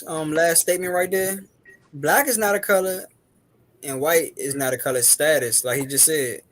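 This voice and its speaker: A man's voice: on the high side, moderate pace, fairly bright tone. A man on a livestream panel, explaining his view point by point with examples. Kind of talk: casual panel discussion.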